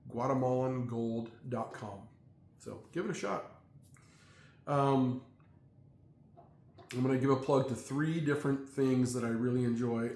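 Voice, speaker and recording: Middle-aged man, gravelly voice, flat delivery, halting with fillers, echoey room. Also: mid-range voice, a little hiss or hum. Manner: halting, many fillers and restarts. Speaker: vlogger. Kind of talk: casual rambling monologue. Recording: echoey room, faint ambience.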